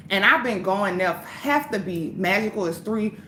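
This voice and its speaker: A woman's voice: mid-range voice, animated, moderate pace, sharp tone, echoey room, slight background noise, poor audio. A woman hosting a livestream, ranting in a heated, profane, preachy way. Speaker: livestream host.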